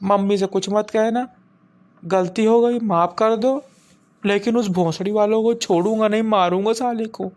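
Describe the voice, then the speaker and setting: A woman's voice: mid-range voice, steady tone, normal speed, darkish tone, lightly acted. A woman voicing a wayward, foul-mouthed son in a comic cartoon, whining and pleading defensively. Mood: pleading, vengeful. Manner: whiny, repetitive pleading.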